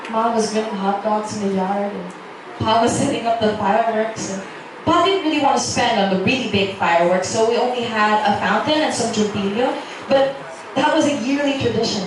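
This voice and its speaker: A woman playing an earnest, wistful young medical student in a halting, sincere dramatic reading. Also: fairly high pitch, lightly acted. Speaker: reader at a literary festival. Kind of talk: dramatic reading of a romance novel's dialogue. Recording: echoey, noisy room from far off.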